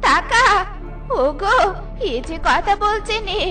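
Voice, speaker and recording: A woman's voice: extremely high pitch, animated, slow, bright, forceful tone, exaggerated voice. A woman voicing an anxious mother fox in a comic cartoon, pleading and melodramatic. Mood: fretful. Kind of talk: exaggerated comic cartoon acting. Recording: music under the voice.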